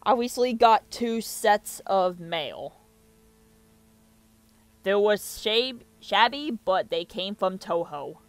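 A man's voice: high voice, lively intonation, slow pace. A young man giving casual reaction commentary and reading captions aloud, with muttered asides, on a close mic in a small room. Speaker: reaction video host.

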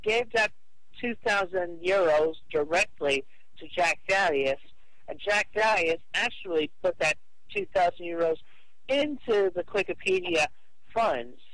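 A man on a phone call arguing his case in a halting, repetitive, insistent way. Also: sits high, fairly bright tone, no echo. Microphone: telephone call.

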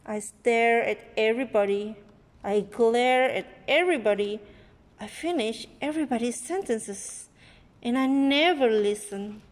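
A woman reading a picture book aloud expressively to a child, in a deliberate manner, recorded on a handheld phone indoors. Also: on the high side, big-room sound. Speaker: read-aloud storyteller. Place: indoor room with wooden floor. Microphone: handheld phone near the book.

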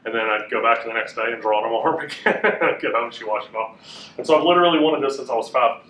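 Loud, booming male teacher in echoey classroom. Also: fast talker. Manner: chatty, relaxed, with many fillers. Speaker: Bible teacher. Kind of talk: casual classroom lecture. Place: classroom with a whiteboard, indoors. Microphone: room mic from a fixed camera. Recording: echoey room, voice a bit far off.

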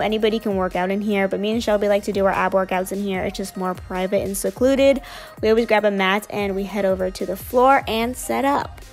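A young woman narrating her gym workout in a casual, upbeat voice-over. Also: medium pitch. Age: young adult. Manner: casual, chatty, upbeat explaining. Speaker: fitness vlogger.

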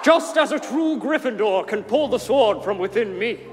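A very high, swooping voice for a magical talking hat acted by a man in a stage musical. It makes grand, solemn pronouncements over loud music in a reverberant hall. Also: expressive, moderate pace. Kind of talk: comic musical stage reading.

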